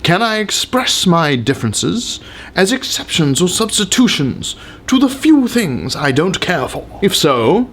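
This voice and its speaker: A man's voice: high voice, big pitch swings, measured pace, a bit bright, slight background noise. A man giving a pompous, theatrical dramatic reading of an essay with exaggerated emphasis.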